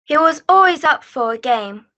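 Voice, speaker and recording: A woman's voice: high-pitched, lively intonation, moderate pace, bright, forceful tone. A young woman voicing an insistent, matter-of-fact puppet in an amateur play over a video call. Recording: muffled video call audio.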